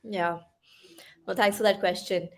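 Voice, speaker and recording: A woman's voice: mid-range voice, steady tone, unhurried. A young woman with an Indian English accent, a podcast guest talking through her path, with frequent fillers and asides. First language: Hindi. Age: young adult. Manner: thoughtful, with many fillers and asides. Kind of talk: conversational interview.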